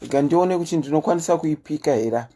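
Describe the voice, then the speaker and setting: Deep-voiced Zimbabwean woman host. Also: fast talker, dark tone. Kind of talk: casual livestream chat and storytelling.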